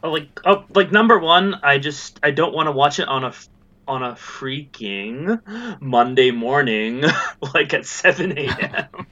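A man's voice: medium pitch, measured pace, sharp tone, highs cut off. A man chatting casually as a podcast guest, rambling with lots of fillers and laughs. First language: not Japanese. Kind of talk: casual chat. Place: in Japan.

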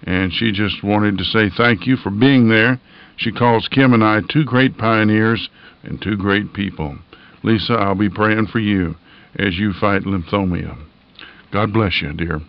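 A man's voice: lowish voice, measured pace. A folksy, earnest man hosting a radio station, reading informal announcements and listener notes in a plain-spoken way. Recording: dull, band-limited lo-fi audio.

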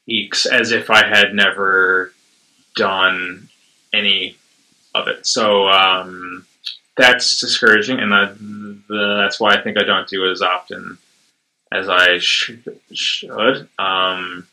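A man talks in a casual conversation, slowly and hesitantly, with frequent fillers. He stutters on sounds, repeating them before a word comes out. His voice is low and very bright. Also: steady tone, low-quality recording.

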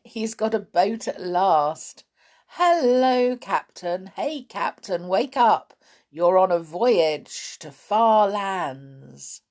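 A woman voicing a mischievous female toy doll in a children's story, loud and teasing. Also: medium pitch, slow pace, few pauses, pitched above the performer's own voice, lightly acted. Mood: gleeful teasing.

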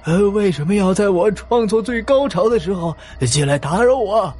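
An eccentric young artist, indignant and whining in a radio play. The voice is high and raspy, swooping dramatically over music. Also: male voice, lively intonation, moderate pace, darkish tone. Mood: outraged, complaining. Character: irritable, eccentric long-haired young male painter. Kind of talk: audio drama acting.

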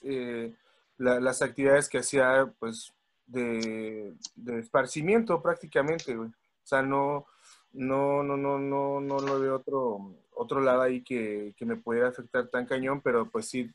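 Slangy Mexico City man, halting speech. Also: medium pitch. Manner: slangy and sweary, halting with repeated words. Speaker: podcast panelist. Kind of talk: casual chat.

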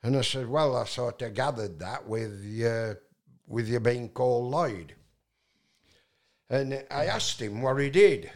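A man's voice: medium pitch, takes it slowly. A man from England, telling a long, rambling story as a guest and often restarting with fillers. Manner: loud voice, many fillers and restarts.

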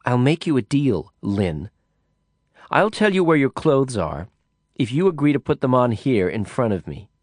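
A man's voice: medium pitch, very animated, moderate pace, darkish tone, dry recording, clean studio sound, voice pushed up. A man voicing a brash, hard-drinking woman in an audiobook, blunt, profane and sneering. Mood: mocking. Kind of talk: audiobook character voice.

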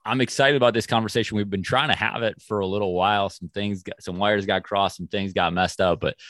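This is a male podcast host from the Midwest, talking in a casual, rambling way and often restarting his sentences. His voice sits very low, and the recording is dry and close. He sounds relaxed as he chats with his guest. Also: brisk, no echo. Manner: chatty, rambling questions with restarts. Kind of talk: casual interview chat.

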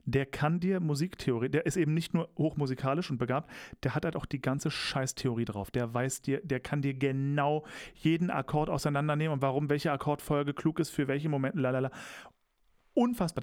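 A man's voice: fairly high pitch, a little fast, a little mellow, dry recording, studio quality. A young man co-hosting a casual chat, enthusiastic and rambling, teasing his co-host. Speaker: podcast co-host.

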